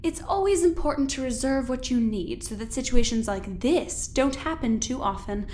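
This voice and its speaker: A woman scientist with a high, genteel voice. She is formal and mock-innocent, with a calculating sweetness made to needle. It is audio drama, with slight noise behind the voice. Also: expressive, normal speed, a little mellow. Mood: smug, coy.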